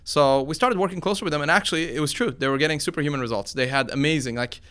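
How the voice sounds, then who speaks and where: A man's voice: on the high side, rapid-fire, fairly bright tone, clean tone. A man in his forties who was born in Iran and grew up in Sweden, giving conversational interview answers as a tech founder and researcher, in an informal explanatory way. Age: 40s. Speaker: tech company founder and computer science researcher being interviewed.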